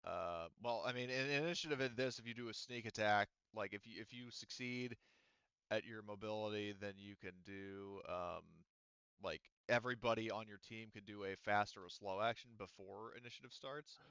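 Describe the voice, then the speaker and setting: A man's voice: on the low side, normal speed, fairly bright tone, clean tone, no echo, muffled recording. A man running a tabletop roleplaying game as game master, casual and rambling with many fillers. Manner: rambling, lots of fillers and restarts.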